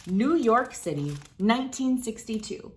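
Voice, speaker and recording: A woman reading a children's story aloud as host, with dramatic, deliberate phrasing and clear emphasis. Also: a little high, lively intonation. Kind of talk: expressive picture-book read-aloud.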